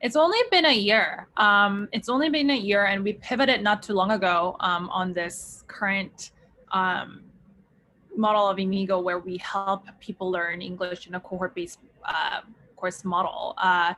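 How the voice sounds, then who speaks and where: A woman's voice: medium pitch, steady tone, unhurried, sharp tone. A young woman from South Korea, a startup founder answering interview questions in a chatty way with many fillers and restarts.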